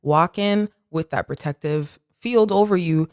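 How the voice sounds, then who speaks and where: Low-voiced woman talking reflectively. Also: measured pace, no echo, studio quality. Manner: reflective, animated, many "like" fillers. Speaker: podcast co-host. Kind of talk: casual conversation.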